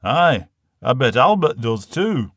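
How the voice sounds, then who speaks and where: A man's voice: medium pitch, big pitch swings, slow pace, a bit bright, no room sound, clean studio sound. Man acting a blunt, droll Northern English father. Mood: stoical.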